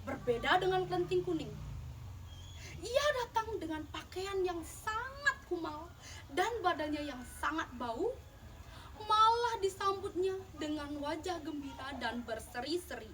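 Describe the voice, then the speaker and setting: Young woman, high voice, very animated dramatic storytelling, emphatic swells. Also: unhurried. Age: young adult. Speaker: storyteller in a school storytelling contest. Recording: distant mic, clearly noisy background.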